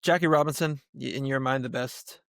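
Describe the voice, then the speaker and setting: A man hosting a podcast, asking questions in a relaxed and friendly way. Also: medium pitch, brisk, creaky, dry recording, clean studio sound. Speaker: podcast host. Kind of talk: casual podcast interview.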